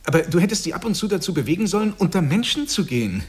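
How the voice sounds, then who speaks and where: A man's voice: high voice, sing-song, fast talker. An actor playing a smug, boastful husband who lectures his friend with condescension in a stage drama. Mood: patronizing concern. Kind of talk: German stage-play dialogue acting.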